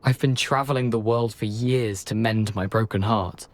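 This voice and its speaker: A man playing a jaunty, wry gentleman ghost in a video game, with a flamboyant and courteous manner. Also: on the low side, fairly even intonation, normal speed, natural voice. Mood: shocked, regretful.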